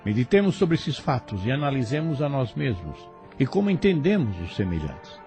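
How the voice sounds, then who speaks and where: A man gives a slow, reflective spiritual reading in a solemn tone. His mid-pitched voice rises and falls a great deal, and faint music plays under it. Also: darkish tone. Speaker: narrator. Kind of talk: spiritual commentary, scripted narration.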